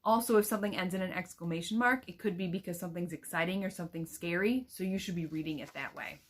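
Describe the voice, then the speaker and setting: A woman's voice: fairly low pitch, fast talker. A woman teacher giving an instructional talk on reading aloud, explaining things and often checking in with a short question. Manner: explanatory, checks understanding with "right?".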